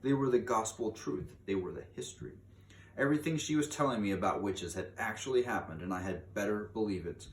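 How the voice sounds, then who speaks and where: Guy reading a kids story out loud, kinda low voice, echoey with faint noise. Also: brisk. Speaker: storybook reader. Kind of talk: expressive read-aloud of a children's story. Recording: echoey room, distant mic, faint noise.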